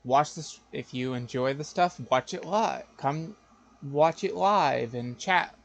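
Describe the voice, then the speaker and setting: Gravelly, rambling male game streamer. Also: fairly high pitch. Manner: rambling, repetitive, halting. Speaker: live streamer. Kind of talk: casual game stream chat.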